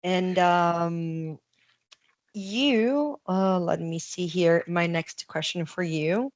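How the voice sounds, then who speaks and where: Soft-spoken woman host, hesitant and searching. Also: on the low side, drawn-out words, dry recording. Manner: hesitant, searching for words, supportive. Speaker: podcast host, immigrant to Nova Scotia. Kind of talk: casual podcast interview.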